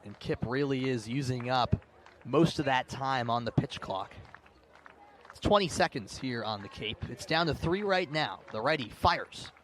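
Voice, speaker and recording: A man doing live baseball play-by-play commentary, narrating pitch by pitch in short, clipped phrases. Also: lively intonation, a little slow, fairly bright tone. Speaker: play-by-play announcer. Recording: clear outdoor ballpark background noise. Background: outdoor ambient noise.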